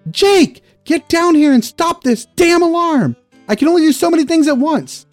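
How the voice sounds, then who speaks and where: A man's voice: very high-pitched, very animated, normal speed, no room sound, faint music behind, voice pushed up. A man reading a story and voicing a harried, exasperated young single mother. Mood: angry, frantic. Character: harried, exasperated young single mom calling her boys.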